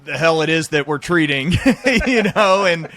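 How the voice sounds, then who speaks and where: A man in his thirties hosts a casual podcast conversation. His voice is clearly bright and forward, sitting a little higher than average. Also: expressive, unhurried. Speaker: podcast host.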